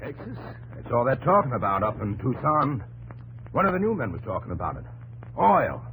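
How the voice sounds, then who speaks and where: An actor playing a blunt, skeptical oil drilling hand in 1950s radio drama, matter-of-fact, on an old broadcast. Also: male voice, medium pitch, big pitch swings, normal speed, a bit bright, a little hiss or hum, rough recording.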